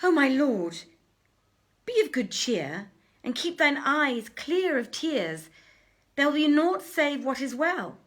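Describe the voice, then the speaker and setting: A woman's voice: sits high, very animated, takes it slowly, fairly bright tone, pitched above the performer's own voice, heavily acted. A woman voicing a patient, reassuring male vizier in a storybook, with emphatic, exhorting delivery. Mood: consoling. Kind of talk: storybook character reading.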